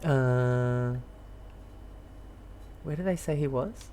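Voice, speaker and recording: Low-voiced woman playing a game. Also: extremely slow, dark tone, slight background noise. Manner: rambling, thinking aloud. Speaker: gaming streamer. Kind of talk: casual playthrough commentary.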